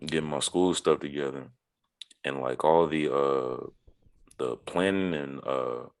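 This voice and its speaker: A man's voice: very deep voice, a little slow, clear, resonant tone. A man chatting casually on a podcast in a rambling, slangy, profane way. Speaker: podcast co-host or guest.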